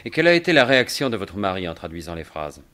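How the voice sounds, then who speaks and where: An actor playing a commanding, inquisitive gentleman sleuth in a French radio mystery, polite and assured. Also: deep voice, very animated, fast talker. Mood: polite, insistent. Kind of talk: 1950s-style French radio mystery acting.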